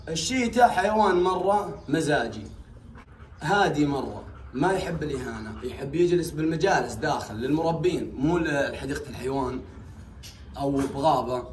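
Echoey, higher-pitched young Saudi man. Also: slight background noise. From Saudi Arabia. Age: young adult. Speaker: big cat owner and vlogger. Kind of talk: casual explanatory vlog talk. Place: small bare tiled enclosure. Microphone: camera a few steps away. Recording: echoey bare room, distant microphone.

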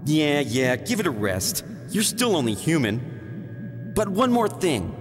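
An actor playing an arrogant, bitter monkey villain in a video game cutscene, with a haughty, theatrical, sneering delivery. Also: male voice, a little high, big pitch swings, takes it slowly. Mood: disdainful, weary. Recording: long reverb over game music.

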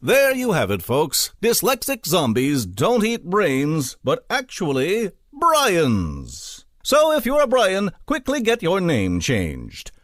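A man's voice: sits high, huge pitch swings, slow, few pauses, dry recording, clean studio sound, full character voice. An actor playing a vain, pompous male news anchor in a comedy radio newscast. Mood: smug. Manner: pompous, self-admiring. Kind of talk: comedy newscast parody, scripted.

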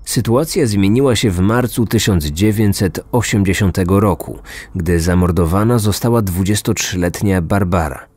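A man's voice: low voice, moderate pace, a little mellow, husky, no echo. A man in his thirties reading a scripted true crime podcast narration as its host. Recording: music bed under the voice. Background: background music.

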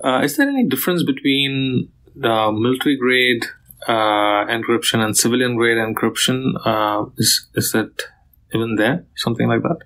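A man's voice: mid-range voice, moderate pace, a bit bright, low-quality recording. A man hosting a podcast interview, asking questions in a hesitant, tentative way. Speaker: podcast host.

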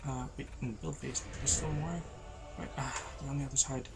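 A tired young man, losing his voice, giving low-energy, rambling commentary over a video game. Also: fairly even intonation, fairly quick, gravelly, music in the background. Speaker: gameplay commentator. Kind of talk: casual let's-play commentary.

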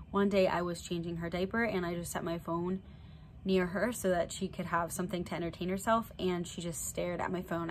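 A woman's voice: lowish voice, a little hiss or hum. A young woman with a slight cold and a little nasal, chatting casually to camera in a vlog update. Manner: chatty, plain, fillers like "so yeah". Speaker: vlogger. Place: indoor room before a draped backdrop with string lights.

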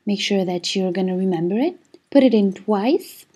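A woman's voice: medium pitch, moderate pace, mellow, husky. Chatty young woman, native Italian speaker. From Switzerland. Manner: chatty, explaining step by step with many fillers. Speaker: singer-songwriter. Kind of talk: casual vlog with screen walkthrough. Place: indoor room, webcam video.

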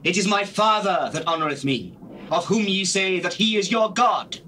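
An actor playing a solemn, authoritative holy teacher, declaiming scripture in an audio drama. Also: male voice, high voice, moderate pace, sharp tone, faint music behind. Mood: firm, solemn. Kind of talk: dramatized scripture reading, stage-like acting. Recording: noisy old cassette tape recording.

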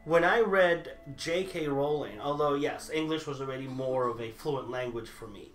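Animated man, Italian first language, roomy echo. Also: mid-range voice, moderate pace, soft background music. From grew up overseas, Italian at home. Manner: animated, gestures a lot, many restarts. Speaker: debater for the Harry Potter side. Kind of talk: casual debate chat. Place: small indoor room full of movie memorabilia. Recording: echoey room.

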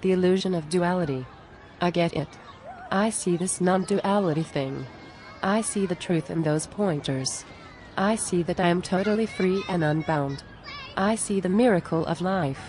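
A woman's voice: on the low side, moderate pace, a little mellow, slight background noise, lightly acted. A woman playing an exasperated, plain-spoken friend who pleads earnestly and reproachfully in scripted cartoon dialogue.

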